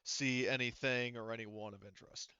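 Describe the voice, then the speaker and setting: Crisp-voiced man running a tabletop game. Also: mid-range voice, fairly even intonation, normal speed, bright, forceful tone, no echo, muffled recording. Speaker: game master. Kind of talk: casual narration of an online tabletop game.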